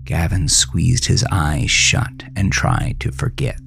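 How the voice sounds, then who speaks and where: Male horror narrator, deliberate and dramatic, slow delivery, slightly deep, light musical bed. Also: fairly even intonation, creaky, fairly bright tone. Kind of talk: scripted horror story narration. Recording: music behind the voice.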